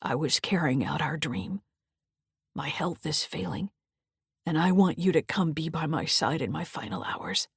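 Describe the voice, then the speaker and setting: Woman doing a deep gravelly old man, dying, begging softly, half whispering, dry sound. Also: expressive, unhurried, no echo, heavily acted. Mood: pleading. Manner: quiet, half-whispered pleading. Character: dying older man, remorseful and pleading. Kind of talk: audiobook character voice.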